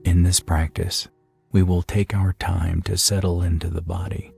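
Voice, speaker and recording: A man leading a scripted guided meditation in a soothing, unhurried manner with long pauses. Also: low-pitched, flat delivery, creaky, slightly rough. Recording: soft music behind the voice. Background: faint meditation music.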